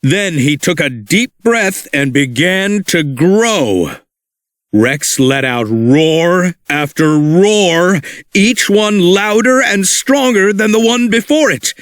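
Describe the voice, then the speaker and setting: Male read-along narrator, lively and unhurried, dry close studio sound. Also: high voice, no echo. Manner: animated, expressive reading for children. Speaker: storybook narrator.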